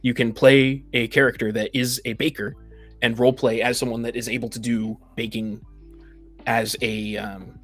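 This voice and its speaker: A man in a casual discussion, explaining his view of tabletop roleplaying haltingly and often rephrasing. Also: medium pitch, level tone, fairly bright tone. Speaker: game master and discussion panelist. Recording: faint music in the background. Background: faint music.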